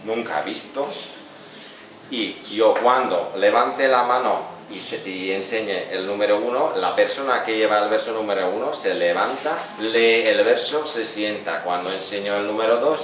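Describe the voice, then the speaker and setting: Deep-voiced non-native Spanish-speaking man, echoey room. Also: a bit bright. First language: not Spanish. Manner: halting, reflective, searching for words. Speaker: poet and musician presenting his book. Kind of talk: informal talk at a poetry book presentation. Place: echoey café event room. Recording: noisy, very echoey room, distant microphone.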